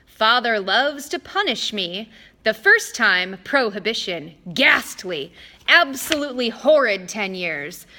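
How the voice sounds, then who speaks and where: A woman's voice: a little high, bright, forceful tone, exaggerated voice. A woman voicing a petulant, haughty male wine god who pouts like a sulky child. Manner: whiny, pouting, theatrical.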